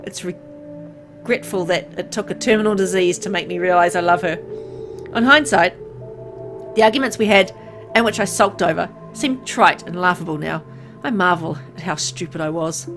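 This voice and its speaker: A woman's voice: on the low side, moderate pace, music in the background. A woman reading dramatic first-person visual novel narration aloud in a brooding, theatrical way.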